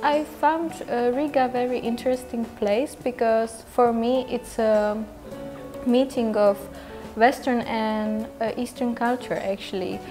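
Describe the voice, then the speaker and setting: A young woman speaks English, her voice sitting a little high. She answers interview questions to camera in a measured way, pausing now and then to find the right word, with soft music underneath. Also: fairly even intonation. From Czech Republic. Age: young adult. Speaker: exchange student. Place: indoor room with wooden cabinets.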